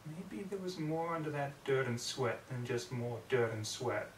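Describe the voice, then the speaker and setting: A man's voice: mid-range voice, expressive, unhurried, slight background noise. A man narrating a story in a brooding, theatrical way, often in clipped fragments. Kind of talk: dramatic story reading. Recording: roomy echo, distant microphone.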